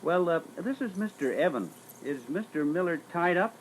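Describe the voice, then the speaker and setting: An actor playing a timid, fumbling rookie insurance agent in a training role-play, hesitant and apologetic. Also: male voice, a little high, sing-song, moderate pace. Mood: hesitant, nervous. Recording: noisy old reel-to-reel tape.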